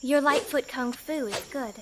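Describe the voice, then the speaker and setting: Woman acting a haughty, high-voiced bandit woman. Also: moderate pace, background noise, close to an everyday voice. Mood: cool, wary. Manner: taunting, theatrical. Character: haughty, dangerous female bandit and kung fu fighter. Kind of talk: audio drama acting.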